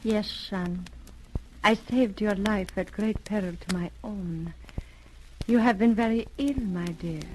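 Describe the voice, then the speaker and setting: An actress in a 1930s radio drama playing a seductive, imperious hypnotic villainess who speaks slowly and commandingly. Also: female voice, mid-range voice, darkish tone, lightly acted. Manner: slow, deliberate, commanding. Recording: noisy old 1930s radio recording.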